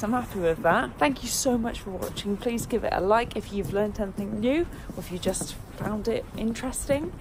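A woman's voice: mid-range voice, background noise. A young woman, an enthusiastic and chatty travel vlogger talking outdoors into a handheld camera. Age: young adult. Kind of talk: casual travel vlog. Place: outdoors in a city. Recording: music playing under the voice.

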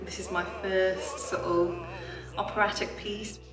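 A woman's voice: mid-range voice, flat delivery, measured pace. Reflective woman speaking at a distance. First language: Urdu. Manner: reflective, with soft filler words. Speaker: singer. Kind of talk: interview. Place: small room with a poster. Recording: roomy sound from a distance, music behind.

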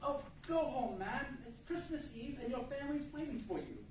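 A woman's voice: sits high, expressive, moderate pace, a little mellow. A woman playing a kind, cheery nephew in a staged radio play, hearty and coaxing. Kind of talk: stage readers' theatre as 1940s radio play. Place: large indoor meeting room with seated audience. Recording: very echoey room from far off, faint noise.

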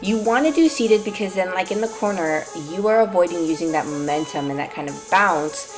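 A woman in her twenties talking through an arm workout in a relaxed, upbeat, explanatory way. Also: fairly low pitch, brisk. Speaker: fitness vlogger. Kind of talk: casual workout walkthrough. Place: gym. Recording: music underneath the voice.

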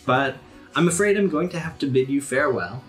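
A man's voice: mid-range voice, animated, brisk, natural voice. A man voices an elusive villainess in a tabletop game. The delivery is mocking and teasing, with faint music underneath. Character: taunting, elusive female villain.

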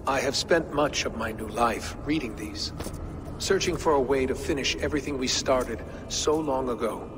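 A man doing a precise, calm calculating being. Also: mid-range voice, background noise, close to an everyday voice. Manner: precise, measured, matter-of-fact. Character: calm, precise man who reads probabilities. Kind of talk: video game cutscene. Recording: game audio with music behind.

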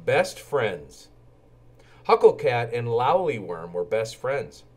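A man narrating a children's storybook in an expressive, animated way and acting out the characters' lines. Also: medium pitch, slow pace. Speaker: read-aloud storyteller for children.

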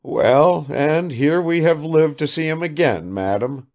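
A man's voice: a little high, measured pace, a little mellow, voice pushed up. A man reading aloud and voicing a fussy, emotional elderly retired army father who tries to hide his feelings. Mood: flustered.

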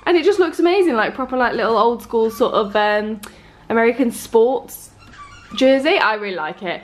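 A woman's voice: a little high, animated, moderate pace, soft background music. A young English woman talking casually to her camera, chatty and upbeat with lots of fillers. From England. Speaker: vlogger. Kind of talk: casual vlog chat to camera. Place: car, then a home kitchen. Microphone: handheld camera at arm's length.

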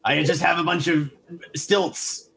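A man voicing a gruff, folksy old great-uncle with a gravelly voice in an improvised comedic cartoon fan dub. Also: a little high, fairly even intonation, slow, sharp tone, exaggerated voice, low-quality recording. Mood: gruff, reassuring.

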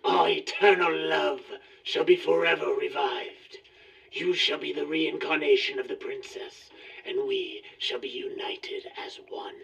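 An actor playing a menacing, lovesick ancient Egyptian villain in an old radio serial, intense and melodramatic. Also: male voice, on the high side, steady tone, a little slow, gravelly, fairly bright tone, exaggerated voice. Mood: exultant. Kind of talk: 1940s radio serial acting. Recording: dull, distant old-radio sound.

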